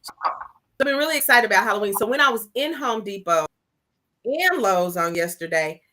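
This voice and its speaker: A woman's voice: mid-range voice, expressive, moderate pace, bright, forceful tone. A woman guest on a podcast, chatting casually and telling a self-mocking story with lots of expression. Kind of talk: casual chat.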